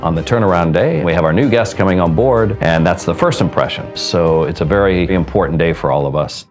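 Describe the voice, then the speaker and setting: A middle-aged American man gives interview soundbites as a hotel director in a promotional film. His voice is notably deep, with music clearly audible behind him. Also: a little fast. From Seattle, United States. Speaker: cruise ship hotel director. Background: background music.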